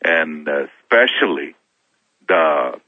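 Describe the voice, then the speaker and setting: A middle-aged man from Kenya, a guest on a talk show, telling his own story in a halting way, often restarting his words. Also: very deep voice, lively intonation, no echo. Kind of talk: personal testimony in a talk show interview. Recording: thin phone-like sound, dry.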